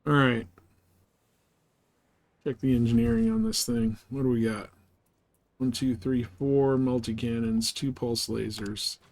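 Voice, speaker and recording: A man's voice: medium pitch, expressive, unhurried, creaky, long pauses, darkish tone. A man livestreaming a game in casual, informal chat, often thinking aloud to himself as he plays.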